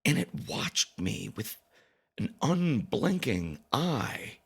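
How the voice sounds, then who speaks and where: A man telling a first-person story as a dramatic confession, with deliberate pauses and mock-grave, theatrical emphasis. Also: on the low side, very animated, vocal fry, breathy edge. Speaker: storytelling podcast narrator.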